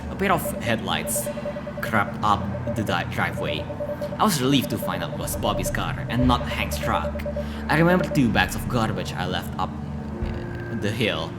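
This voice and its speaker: A bitter older woman caretaker from a dark visual novel, read aloud by a man, with a low, animated voice. She is spiteful, jealous and brooding, speaking haltingly over loud music. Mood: bitter, contemptuous.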